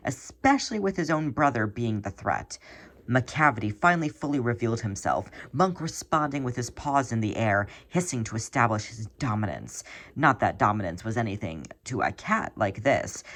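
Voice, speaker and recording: A mid-pitched voice reads a piece of fan fiction aloud. The delivery is scripted narration, told in an expressive and dramatic storytelling manner.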